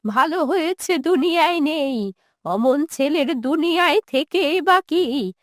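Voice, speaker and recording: A bitter old village mother played by a woman speaks in a high, slow voice. She is plaintive and reproachful, full of grief, in a cartoon moral tale with close, dry sound. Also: animated, no echo. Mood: bitter, hurt. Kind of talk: cartoon moral-story dialogue.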